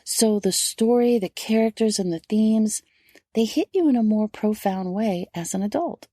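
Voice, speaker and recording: A woman's voice: mid-range voice, normal speed, warm tone, no echo, studio quality. A middle-aged woman hosting a podcast solo, giving instructive advice and speaking directly to her listeners. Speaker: homeschool podcast host.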